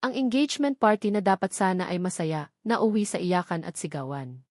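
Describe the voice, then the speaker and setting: A woman's voice: lowish voice, expressive, moderate pace, a little mellow, no echo, studio quality. A woman storyteller giving scripted first-person romance narration in a dramatic, sentimental manner.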